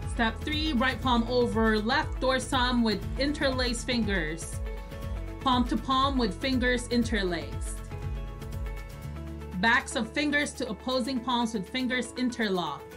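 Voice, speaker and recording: A woman's voice: a little high, animated, slow pace, music in the background. A woman hosting a show in a casual, instructive way. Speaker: radio show host. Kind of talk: casual chat, reading out instructions. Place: small indoor home studio, video call.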